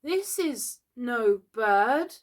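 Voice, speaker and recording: A woman's voice: very high voice, animated, measured pace, a bit bright, pitched above the performer's own voice, heavily acted. A woman voicing simple, easily scared young boys in a storybook, exclaiming in awe. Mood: scared sorrow. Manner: exclaiming, awed.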